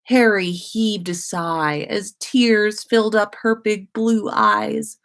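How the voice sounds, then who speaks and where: A woman reading a story aloud as expressive narration, like a host at story time. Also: medium pitch, slow pace, vocal fry. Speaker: host reading listeners' stories on a livestream.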